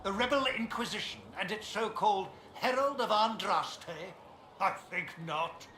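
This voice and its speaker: High, haughty, sneering cleric. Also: male voice, lively intonation, moderate pace, sharp tone. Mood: scornful. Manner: pompous, sneering, argumentative. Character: haughty, indignant male cleric, a scornful official. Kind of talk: fantasy video game dialogue acting. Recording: echoey space, faint ambient noise.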